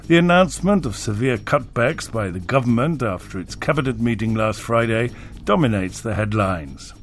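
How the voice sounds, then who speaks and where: A man's voice: medium pitch, animated, moderate pace, slight background noise. A man reading scripted news and press summaries as a radio newsreader.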